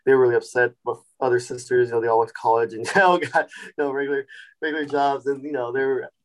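A man in his forties, a chef and restaurant founder, telling his story in a relaxed podcast interview with many fillers and restarts. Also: mid-range voice, quick pace. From Sacramento, California. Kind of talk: casual interview.

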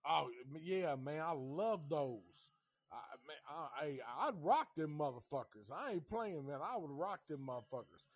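A man's voice: on the high side, expressive, a little slow, slightly rough, dry recording. A fifty-year-old man from Tennessee hosting a casual livestream chat, rambling and swearing in a laid-back way. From Nashville, Tennessee. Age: 50s. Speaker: livestream host.

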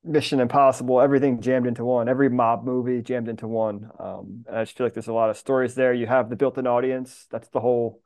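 A man's voice: mid-range voice, little pitch movement, fast talker, few pauses, darkish tone. Man chatting casually in short, joking phrases. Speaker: podcast guest, screenwriter. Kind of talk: casual chat.

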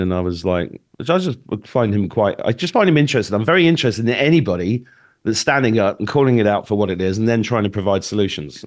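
A man's voice: fairly low pitch, darkish tone. A middle-aged man from the UK chatting informally as an interview guest, blunt and joking in manner. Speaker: talk show guest and podcast interviewer. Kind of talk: casual conversational interview chat.

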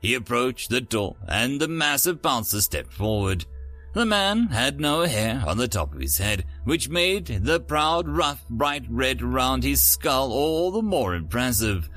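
A man's voice: mid-range voice, big pitch swings, measured pace, fairly bright tone, soft background music. A man narrating scripted stories as a host, with a theatrical, dramatic announcer delivery. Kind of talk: scripted story narration with a showy intro.

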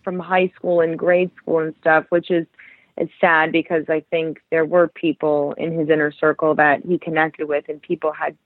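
A woman's voice: deep voice, level tone, moderate pace, no room sound. Guest author, 30s woman from Ohio, thoughtful, restarts a lot, dry tinny phone sound. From Ohio, Cleveland area. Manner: thoughtful, many restarts and fillers. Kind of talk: conversational interview.

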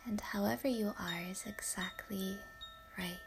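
A woman whispering a guided yoga nidra meditation in a hushed, soothing way. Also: mid-range voice, measured pace, vocal fry, noisy background.

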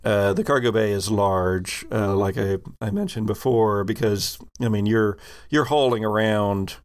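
A low-pitched man runs a tabletop role-playing game. He narrates off the cuff, conversationally, pausing and restarting as he builds descriptions. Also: clean tone.

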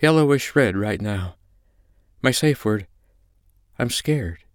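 A man's voice: lowish voice, expressive, a little slow, darkish tone, no room sound, clean studio sound, voice pushed up. A man voicing an anxious, submissive woman who speaks meekly in an audiobook story. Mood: scared, vulnerable. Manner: meek, obedient, fearful.

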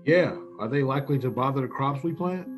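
A brisk American executive acted by a man gives curt orders in a vintage radio play. His voice is medium-pitched and impatient, with faint music underneath. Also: expressive, natural voice. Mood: impatient, questioning. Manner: curt, commanding. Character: brisk, impatient male colony executive in charge. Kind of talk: golden age American radio drama acting.

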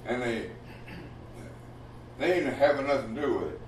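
A man's voice: mid-range voice, lively intonation, normal speed. A man teaching scripture in a big, emphatic voice that carries through the room. He keeps checking in with rhetorical questions. He's picked up from some distance in an echoey hall, with faint noise underneath. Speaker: Bible class teacher. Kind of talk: Bible study sermon. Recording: roomy hall, mic from afar, faint noise.

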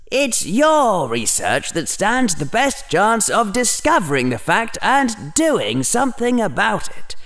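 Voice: mid-range voice, fairly bright tone, pitched above the performer's own voice. A narrator voicing a sly, grimly persuasive inner voice of a boy, with mock-logical, theatrical delivery in a storybook reading.

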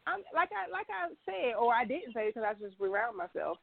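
A congested woman with a cold speaks in a fairly high voice. She rants theatrically in mock offence during casual podcast banter. The sound is dry and tinny, like a phone call. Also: very animated, a little fast, creaky, no echo. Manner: dramatic mock-outraged ranting. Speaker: co-host.

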